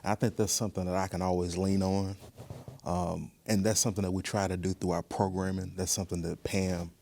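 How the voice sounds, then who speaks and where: Low, even-toned man. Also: a little fast, creaky, a little hiss or hum. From Mississippi, United States. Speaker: museum director, interview guest. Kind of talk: thoughtful interview answers.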